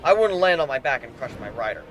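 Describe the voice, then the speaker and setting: A man giving an animated, theatrical dramatic reading of a first-person fan fiction story. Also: mid-range voice, quick pace, rough recording. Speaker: amateur story narrator. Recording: background music under the voice.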